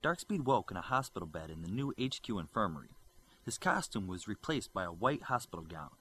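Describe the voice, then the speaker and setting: A man reading a superhero novel aloud as its narrator, with an expressive delivery. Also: fairly low pitch, a little fast, slight background noise. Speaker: audiobook reader. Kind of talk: audiobook narration.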